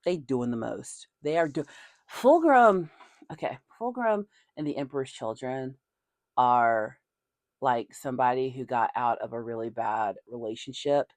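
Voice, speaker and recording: Animated talker from nashville, southern accent toned down, voice swoops up and down a lot, dry close sound. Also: mid-range voice, a little slow, no room sound. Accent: softened Nashville Tennessee Southern. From Nashville, Tennessee. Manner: animated, laughs often. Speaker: video creator. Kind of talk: casual chat.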